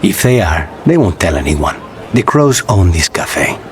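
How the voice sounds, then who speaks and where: Dry, low-voiced suave assassin. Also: male voice, very animated, slight background noise. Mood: calm, guarded. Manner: dry, measured, understated. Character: dry, weary, suave male assassin of a crow guild. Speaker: companion character in a fantasy game. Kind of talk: video game dialogue. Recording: loud music behind the voice. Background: music.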